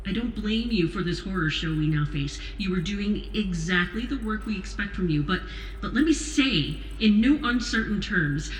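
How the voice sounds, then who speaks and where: A woman's voice: medium pitch, animated, natural voice. Woman acting a commanding woman president, roomy echo. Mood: stern. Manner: measured, authoritative. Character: commanding, stern woman president delivering orders. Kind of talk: audio drama acting. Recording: roomy echo, faint noise.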